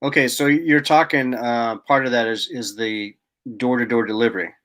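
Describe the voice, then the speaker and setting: Male host, mid pitch, friendly, stumbles and restarts. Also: steady tone, moderate pace, a bit bright. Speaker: interview host. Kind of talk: live online interview.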